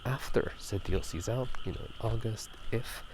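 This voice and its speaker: A man speaking in soft whispers for a casual ASMR gaming chat outdoors at night, unscripted and rambling, often correcting himself. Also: fairly low pitch, moderate pace, noisy background. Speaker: video host. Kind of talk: whispered ASMR chat about video games. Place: outdoors at night near rice fields.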